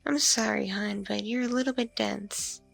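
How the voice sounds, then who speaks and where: A woman playing a seductive, hungry mature vampire mother in an intimate ASMR roleplay, teasing and condescending, breathy and slipping into whispers. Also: medium pitch, steady tone, faint music behind. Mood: incredulous, teasing. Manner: slow, teasing, hesitant hums and pauses.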